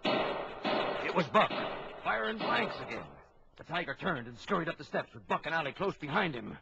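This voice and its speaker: A man's voice: a little high, very animated, moderate pace, vocal fry, very noisy. A man playing an authoritative ship's captain who narrates a suspenseful animal adventure for a children's story record. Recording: old lo-fi children's record, music behind.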